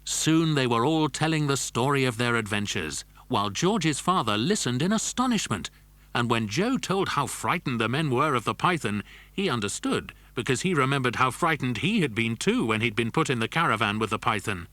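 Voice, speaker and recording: A man's voice: mid-range voice, animated, normal speed, sharp tone, dry recording. A man narrating a scripted children's adventure story.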